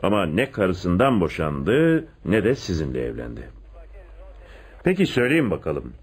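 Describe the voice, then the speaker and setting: An actor playing a shrewd, domineering police inspector in a radio play, questioning people insistently. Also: male voice, sits low, huge pitch swings, normal speed, husky, full character voice. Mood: probing, pressing. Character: shrewd, domineering male police inspector interrogating and giving orders. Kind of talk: radio theatre acting.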